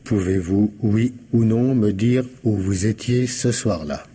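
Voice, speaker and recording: An actor playing a shrewd, courteous police commissioner in a radio mystery drama, husky and politely insinuating as he questions methodically. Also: lowish voice, takes it slowly, warm tone. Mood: probing, insistent.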